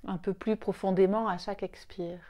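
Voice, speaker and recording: A woman leading a guided yoga nidra meditation in a soothing, unhurried way, with short phrases and long pauses. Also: mid-range voice, fairly even intonation, dark tone.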